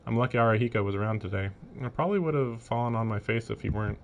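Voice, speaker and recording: A man's voice: fairly low pitch, very fast, slight background noise. A man livestreaming a visual novel, reading the narration aloud and breaking off into casual, amused commentary.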